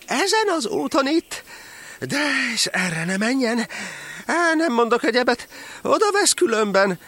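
A man voicing a cranky, exasperated potter in a verse audio drama, grumbling in a strained, shrill voice. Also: big pitch swings, a bit bright, heavily acted. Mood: ominous warning. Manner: grumbling, warning. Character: cranky, exasperated potter carting through deep mud.

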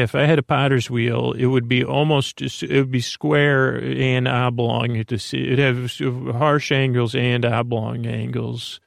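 A man with a creaky voice giving a lulling, meandering, halting bedtime podcast monologue as host, in a self-deprecating way. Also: medium pitch, no echo, clean studio sound. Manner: lulling, soothing.

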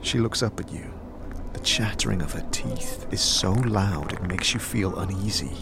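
A man's voice: low-pitched, animated, moderate pace, over music. A man giving dramatic scripted narration as the storyteller of an audio drama. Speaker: narrator and game master-style storyteller, also voicing the hero.

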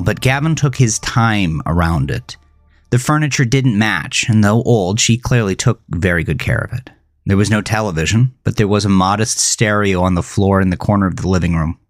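A man narrating a scripted horror thriller in a measured, deliberate, brooding storytelling manner. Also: low-pitched, dry recording, studio quality. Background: music.

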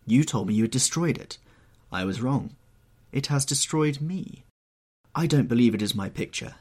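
A man's voice: medium pitch, expressive, moderate pace, a little mellow, husky, voice pushed up. A man voicing a horrified, anguished painter who pleads and moralises in an agitated, exclamatory way, in an audiobook story. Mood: horrified, disbelieving.

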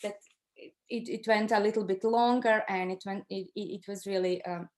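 A middle-aged woman from Croatia with a Croatian accent tells a personal story as an interview guest, conversationally, pausing to search for words in her second language. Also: mid-range voice, fairly even intonation. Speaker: interview guest recounting her own experience.

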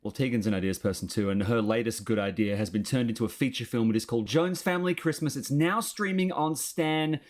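A man's voice: medium pitch, a little fast, hardly any pauses. An Australian man hosting a podcast in a casual, dryly joking manner. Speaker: podcast host. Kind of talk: casual chat and sign-off.

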